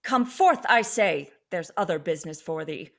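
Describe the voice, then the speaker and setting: A woman's voice: lowish voice, expressive, moderate pace, vocal fry. A woman playing a stern, commanding exiled sorcerer in a Shakespearean radio play, declaiming imperiously. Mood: angry, threatening.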